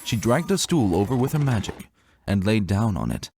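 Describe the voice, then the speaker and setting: A man reading a scripted first-person story in an expressive, reflective manner, as a dramatic narrator. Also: lowish voice, normal speed, gravelly, a little hiss or hum.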